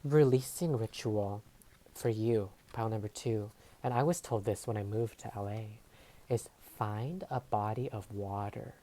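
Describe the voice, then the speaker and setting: Woman, Tagalog first language, very low pitch, meandering tarot-reading delivery with lots of fillers. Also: unhurried, warm tone. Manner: meandering, hesitant, full of fillers and trailing phrases. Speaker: tarot reader. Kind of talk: casual tarot reading monologue. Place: home filming setup. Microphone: overhead camera setup.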